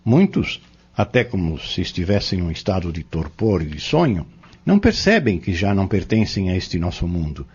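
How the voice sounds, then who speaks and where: An actor playing a kindly, solemn pharmacist and healer in a Brazilian audio drama, speaking in formal, measured, preacherly phrases with a Brazilian accent. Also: male voice, low-pitched, big pitch swings, a little mellow, breathy edge, exaggerated voice. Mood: explaining. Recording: muffled, dull lo-fi recording.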